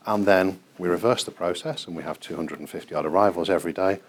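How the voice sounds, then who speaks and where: A middle-aged British man gives an explanatory guided tour in a measured, precise, emphatic way. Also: sits low. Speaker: air traffic control manager and tour guide. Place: large airport control tower room, indoors. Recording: clear ambient noise of a working control room. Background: control room ambience.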